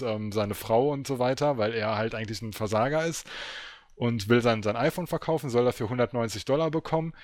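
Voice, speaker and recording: A man's voice: mid-range voice, no room sound, studio quality. A man talking in a casual, colloquial and slangy way, like a podcast co-host recommending something.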